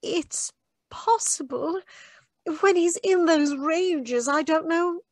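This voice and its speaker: Woman acting a high-voiced, anxious young gentlewoman. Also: no echo, heavily acted. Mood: troubled. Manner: hesitant, polite, measured. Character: polite, anxious young gentlewoman with troubled uncle. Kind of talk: old-time radio mystery acting.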